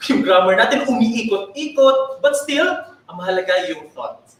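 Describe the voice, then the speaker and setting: A man's voice: high-pitched, very animated, normal speed, fairly bright tone, heavy reverb, far from the mic. A man with a Filipino accent co-hosting a teacher training webinar, polite and friendly, mixing English and Tagalog. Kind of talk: bilingual webinar hosting, greetings.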